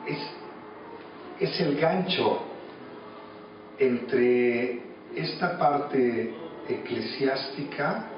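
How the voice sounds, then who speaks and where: A Mexican man in his thirties giving reflective interview answers in a halting way, repeating words as he searches. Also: mid-range voice, background noise. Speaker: interviewee, radio drama actor. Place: small cluttered office. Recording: echoey room, distant mic, muffled, music behind.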